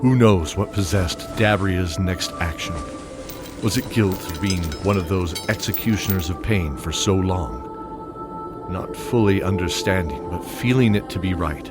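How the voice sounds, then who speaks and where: Man, fantasy drama narrator, deep voice, measured delivery, loud score behind. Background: dramatic music score.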